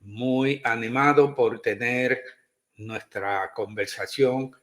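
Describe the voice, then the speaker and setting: Male co-host, unhurried, halting questions on a video call. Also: medium pitch, steady tone, fairly bright tone. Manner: halting, with many fillers. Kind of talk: interview questions.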